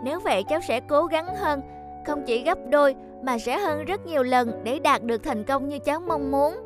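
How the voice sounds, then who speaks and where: A woman voicing an earnest, polite, determined young boy in an animated cartoon. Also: high-pitched, normal speed, over music. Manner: polite, earnest, heartfelt delivery.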